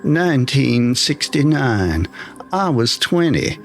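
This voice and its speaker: A man's voice: mid-range voice, sing-song, takes it slowly, gravelly, faint music behind, exaggerated voice. A man voicing a plain-spoken, wistful retired engineer of eighty-one in an audio horror story, in clipped sentences. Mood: fond, reflective.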